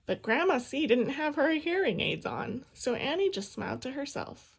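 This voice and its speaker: A woman reading a children's picture book aloud in an expressive storytelling style. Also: sits high, moderate pace, gravelly. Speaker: storybook reader.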